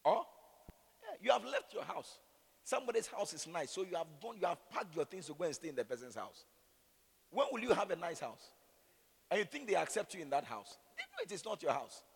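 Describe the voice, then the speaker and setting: Ghanaian man, preacher. Gravelly, fairly high voice, opinionated and rhetorical, repeating himself for emphasis. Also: brisk. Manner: animated, rhetorical questions, repeats phrases for emphasis. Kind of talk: sermon with conversational, opinionated asides. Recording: echoey hall with long reverb.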